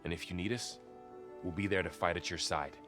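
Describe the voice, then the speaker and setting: An actor playing a loyal, thoughtful young warrior in a video game, speaking in a calm, sincere, conversational way. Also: male voice, low voice, fairly quick, music in the background, lightly acted. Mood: sincere, easygoing. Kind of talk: naturalistic video game cutscene acting.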